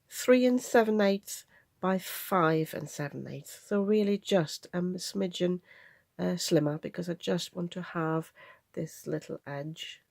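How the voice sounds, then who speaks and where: Crafty woman at her table, stuffy nose from a cold, bit gravelly, dry close sound, chatty how-to. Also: lowish voice, darkish tone, no echo. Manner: chatty, practical, explains measurements step by step. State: nasal from a head cold. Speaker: craft channel host. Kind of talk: card-making tutorial.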